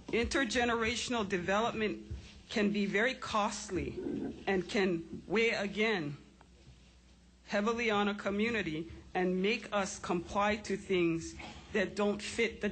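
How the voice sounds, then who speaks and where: A woman giving earnest, deliberate testimony against a bill at a legislative hearing. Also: medium pitch, fairly bright tone, a little hiss or hum, poor audio. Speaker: public testifier. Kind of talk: formal public testimony.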